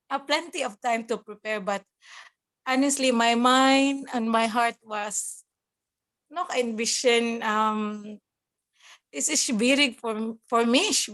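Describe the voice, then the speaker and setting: A woman's voice: a little high, a bit bright. A woman from the Philippines with a Filipino accent, a nurse being interviewed, who halts to search for words and laughs often. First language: Cebuano. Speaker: guest, nurse working abroad. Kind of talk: casual interview chat mixing German and English.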